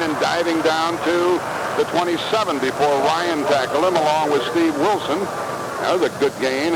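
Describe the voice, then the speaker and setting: American football radio announcer, very noisy tape. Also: male voice, a little high. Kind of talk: live American football play-by-play commentary. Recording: noisy cassette of 1980s radio broadcast. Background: loud stadium ambience.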